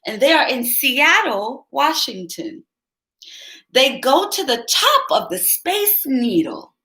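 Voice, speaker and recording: A woman reads a storybook aloud to little kids in a high, very lively voice, slow and teacherly, pausing to ask them questions. Her voice rings in a roomy echo. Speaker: children's story reader.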